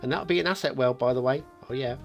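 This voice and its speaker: A man's voice: mid-range voice, fast, creaky, loud music behind the voice. A man hosting a game map review in a chatty, playfully teasing way, commenting casually and off the cuff.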